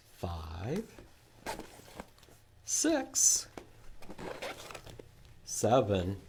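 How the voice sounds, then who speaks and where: A man presenting a children's library storytime, reading aloud in an animated, sing-song way with questions and repeated words for young listeners. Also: medium pitch, a little hiss or hum.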